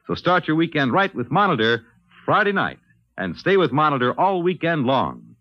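A man's voice: a little high, very animated, normal speed, no room sound. A man announcing upcoming programs and promos on a 1950s radio broadcast. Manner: smooth, persuasive promo delivery. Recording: dry, dull old radio recording.

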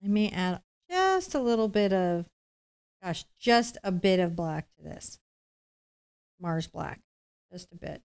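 A woman's voice: on the low side, lively intonation, slow, long pauses, darkish tone, clear, resonant tone. A middle-aged woman giving a conversational step-by-step painting lesson, chatty and explanatory with reassuring asides. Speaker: painting instructor, host.

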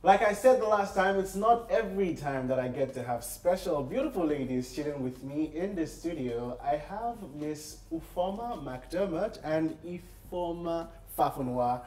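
A man's voice: on the high side, animated, normal speed, few pauses, background noise. A young man with a Nigerian accent hosting an upbeat magazine show interview. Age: young adult. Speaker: host interviewing guests. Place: open-plan office studio. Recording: roomy, slightly distant mic, music bed.

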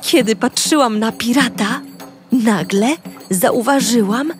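Polish-accented woman, first-person kids' story voice, slightly high, slow, soft music under. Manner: narrating as a cheerful young girl in first person. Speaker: audio storyteller. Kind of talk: children's audio story narration.

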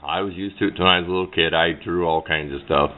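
Low-pitched man, muffled noisy recording. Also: fairly even intonation, fast. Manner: rambling, plain-spoken, self-correcting. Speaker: hobbyist demonstrating a homemade radio antenna build. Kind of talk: casual hands-on explainer. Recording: low-fi muffled sound with clear background noise.

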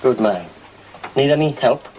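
A man's voice: mid-range voice, expressive, a little slow, a little mellow, natural voice, rough recording. A man playing a dry, sarcastic schoolteacher. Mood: sardonic. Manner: wry, drily sarcastic. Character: dry, sardonic male schoolteacher on a school trip. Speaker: teacher. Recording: 1980s TV drama location sound, background noise.

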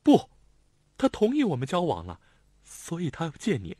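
Man doing a high pleading young woman. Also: huge pitch swings, moderate pace, no echo. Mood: soft. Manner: pleading, raised, near shouting. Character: young woman, rich man's daughter, lovesick and insistent. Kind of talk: audiobook character voice.

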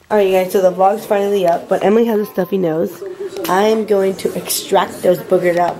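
Chatty young woman, slightly gravelly. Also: fairly low pitch. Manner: chatty, talks softly while baby sleeps. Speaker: family vlogger. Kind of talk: casual vlog narration. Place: living room at home, later in a car. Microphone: handheld camera at arm's length. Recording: noisy home and car background.

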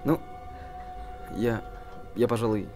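An actor playing an eager, inquisitive young amateur sleuth in a screen drama, naturalistic delivery. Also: male voice, fairly low pitch, little pitch movement, slow pace, dark tone, faint music behind. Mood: hesitant, polite. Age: young adult.

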